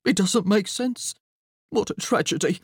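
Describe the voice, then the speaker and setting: A woman's voice: mid-range voice, animated, normal speed, darkish tone, husky, dry recording, pitched above the performer's own voice. A mournful middle-aged dad, played by a woman narrator, sentimental and henpecked. He sighs and snuffles through his lines with swooping, woeful intonation, in a dry storybook recording. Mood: tearful, grieving. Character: gloomy, sentimental, put-upon middle-aged father. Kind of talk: audiobook character voice.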